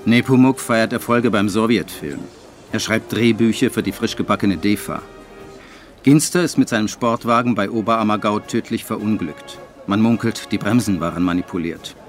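Actor as a low-voiced, wry screenwriter narrator. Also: male voice, moderate pace, natural voice. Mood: dry, sardonic. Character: male screenwriter narrating his postwar schemes, wry and detached. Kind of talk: scripted radio drama narration. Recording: studio radio play over music.

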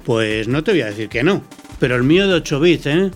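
A man with an accent from Spain speaks at a medium pitch over music. He presents scripted educational dialogue, explaining step by step in a clear way. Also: lively intonation, fairly quick.

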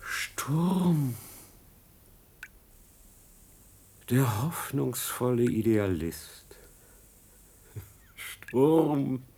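An actor playing a cynical, gloating murderous scientist with a mocking, taunting delivery in a German radio play. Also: male voice, mid-range voice, husky, heavily acted.

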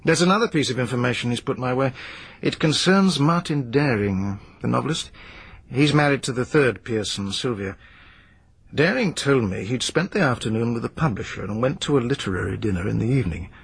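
An actor playing a persistent, measured police inspector in a radio drama. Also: male voice, medium pitch, expressive, vocal fry, slightly rough, exaggerated voice. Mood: earnest report. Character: dogged, skeptical police inspector Narracott.

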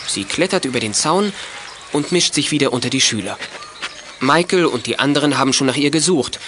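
A man's voice: medium pitch, expressive, fairly quick, fairly bright tone, close to an everyday voice. A man reads scripted, matter-of-fact narration for a children's detective audio drama. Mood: narrating. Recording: clearly noisy old audio play recording.

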